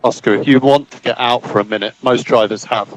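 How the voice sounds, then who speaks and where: A man's voice: mid-range voice, steady tone, a little fast, poor audio. Man on team radio, clipped race engineer. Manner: clipped, repeats key instructions. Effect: team radio transmission. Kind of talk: terse radio instructions to a driver. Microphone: radio headset.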